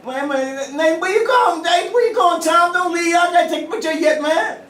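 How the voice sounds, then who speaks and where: Animated, very high-voiced man in echoey room. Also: normal speed. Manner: animated, colloquial, repeats himself. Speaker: host of a youth puppet show. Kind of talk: playful bantering chat with puppets. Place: indoors at a museum. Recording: roomy, distant mic with faint noise.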